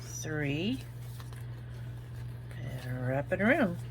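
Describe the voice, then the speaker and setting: A woman's voice: sits low, sing-song, extremely slow, noisy background. A woman with an Oklahoma accent, chatting her way through a crafting tutorial and thinking aloud as she works. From Oklahoma, United States. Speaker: craft video host.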